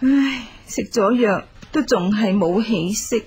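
An actress playing a rich man's concerned, gentle wife in a radio drama, with a breathy voice and a Hong Kong Cantonese accent. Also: fairly high pitch, slow, full character voice. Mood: worried, soothing.

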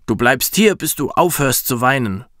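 A man voicing a bossy mother toad in a children's storybook, scolding in a drawn-out, reproachful way. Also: medium pitch, lively intonation, fairly bright tone, dry recording, clean studio sound, lightly acted.

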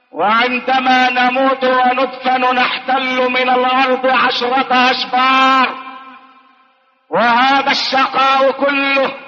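A man preacher giving an impassioned, rhythmic sermon that rises to shouted calls to the congregation. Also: extremely high pitch. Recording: echoey hall, dull sound, faint crowd.

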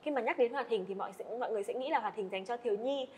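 A woman's voice: on the high side, very fast, a little mellow, slight background noise. A woman hosting a podcast interview, asking questions in a friendly way. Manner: friendly, frequent listening "ừ" and laughs. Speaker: podcast host. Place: indoor studio set.

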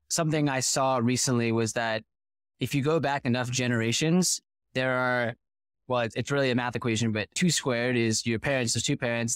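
A man's voice: mid-range voice, clear, resonant tone, dry recording. Articulate man on a headset mic. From United States. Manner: articulate, animated storytelling. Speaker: startup founder, guest. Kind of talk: podcast interview over video call. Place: small room with curtain backdrop. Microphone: headset with close mic.

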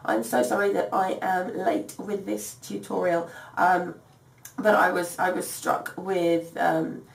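A woman's voice: on the low side, flat delivery, slow pace, gravelly. A woman in her thirties, voice strained from a recent cold, chats through a sewing tutorial with many ums and apologies. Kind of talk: casual craft tutorial. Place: home dining room by garden windows. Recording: echoey room, slightly distant mic.

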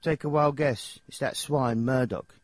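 An actor with an English accent voicing a nervous, dim, childlike young singer in a video game, hesitant and rambling in slangy speech. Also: male voice, medium pitch, a little slow, dry recording, lightly acted. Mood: aggrieved. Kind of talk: video game character dialogue.

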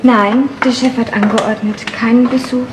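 An actress playing a strict, officious hospital nurse in a 1970s radio crime play. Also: female voice, on the high side, steady tone, normal speed, close to an everyday voice. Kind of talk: 1970s German radio crime drama acting. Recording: distant, very noisy vintage radio play.

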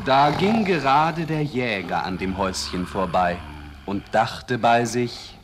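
Male storyteller, mid-pitched, slow, measured, expressive, scripted children's fairy tale. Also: noisy background. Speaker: narrator.

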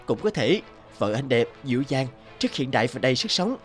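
Male actor for composed, teasing detective in an animated drama, measured delivery. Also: mid-range voice, soft background music, natural voice. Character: cool, teasing male private detective, protective. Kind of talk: cartoon dialogue.

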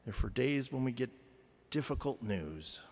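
A middle-aged man preaching a chapel sermon in measured, emphatic phrases with deliberate pauses. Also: medium pitch, expressive, darkish tone. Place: large chapel, indoors at a pulpit. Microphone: gooseneck lectern microphone. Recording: reverberant hall with long echo.

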